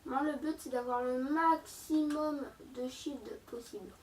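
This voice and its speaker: A woman's voice: high-pitched, steady tone, unhurried, echoey room, recorded from a distance, slight background noise. A young woman with a slight cold, chatting to her viewers through a game with lots of fillers. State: has a cold, sore throat. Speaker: gaming YouTuber. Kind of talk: casual gameplay commentary.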